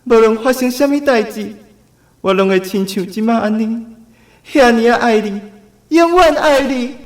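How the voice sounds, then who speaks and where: An actor with a Taiwanese accent playing a smooth-talking, theatrical master film narrator in a radio drama. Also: male voice, very high-pitched, moderate pace. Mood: ardent, theatrical. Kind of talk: radio drama acting, live film dubbing.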